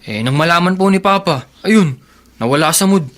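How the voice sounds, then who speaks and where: An actor playing an earnest teenage son and teasing brother in a radio drama. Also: male voice, fairly high pitch, expressive, normal speed, fairly bright tone. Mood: complaining, teasing. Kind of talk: Filipino radio drama acting.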